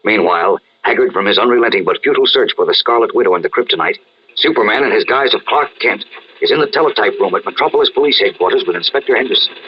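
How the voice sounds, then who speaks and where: A man narrating in a dramatic, scripted old-time radio serial style, with a husky voice. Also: on the low side, lively intonation, fast, muffled recording.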